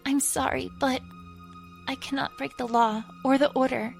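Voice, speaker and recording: Woman acting a gentle young cartoon pony princess, high voice, creaky edges, stammering and apologetic, music behind. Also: fairly even intonation, normal speed. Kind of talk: fan fiction audio drama.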